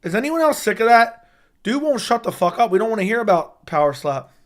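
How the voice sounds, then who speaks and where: A young man talks straight to his stream viewers in a voice worn hoarse, sitting a touch higher than most men's. He gets worked up fast and swears freely, jumping between griping and excitement as he reacts to what he reads. It sounds like a casual home livestream on a desk mic. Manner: excitable, profane, reacting to news live. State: losing his voice. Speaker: MMA YouTube host.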